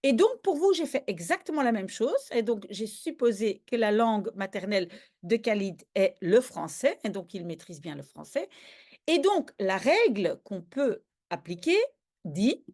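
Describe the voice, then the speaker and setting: A native Dutch-speaking woman explains grammar patiently, one step at a time. Her pitch rises and falls a lot, and she keeps asking if her listeners are following. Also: very animated, a little slow, darkish tone. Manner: patient, step-by-step, checks understanding often. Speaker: language teacher. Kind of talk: explaining grammar in a video lesson.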